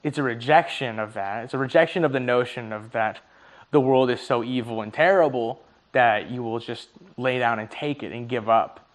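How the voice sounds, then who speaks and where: A young man in his twenties thinks out loud in a loose, personal monologue, mid-pitched and unhurried in a plain voice. He swears casually, restarts his sentences, and chuckles at his own slips, and his voice sounds a little worn. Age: 20s. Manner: musing aloud, swears freely, laughs at own slips. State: voice starting to tire. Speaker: vlogger.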